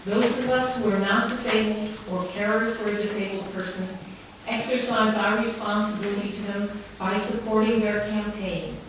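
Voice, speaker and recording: A woman speaking earnestly and emphatically in a measured way, addressing a council committee as a campaigner in a public meeting. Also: mid-range voice. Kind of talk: prepared public speech and answers to questions. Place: large town hall meeting room. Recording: very echoey hall, distant mic, clear background noise.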